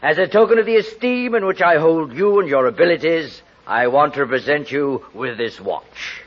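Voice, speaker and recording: A man's voice: a little high, animated, moderate pace. An actor playing a proud, fatherly university head, ceremonious and affectionate, in 1940s radio style. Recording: muffled, noisy vintage radio recording.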